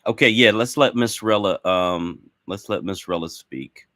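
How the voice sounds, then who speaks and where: A man's voice: fairly low pitch, unhurried, a bit bright, no room sound, studio quality. A man moderating a live discussion in a casual, conciliatory and slightly hesitant way.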